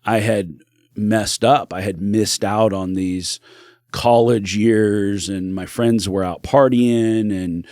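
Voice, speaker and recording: A man's voice: on the low side, fairly even intonation, slow, darkish tone, no room sound, studio quality. A middle-aged man, a podcast guest, telling his life story in an earnest, reflective way. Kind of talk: candid personal storytelling.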